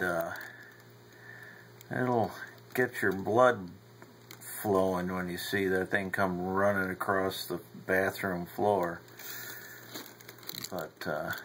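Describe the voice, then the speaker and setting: A man with a low, gravelly voice talks in an unhurried, folksy way, dropping fillers as he films. He's down in a cool basement, picked up by a handheld camera mic. A faint hum of ambience sits behind him. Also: pushed and bright. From upstate New York. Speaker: home videographer. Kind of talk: casual narration while filming.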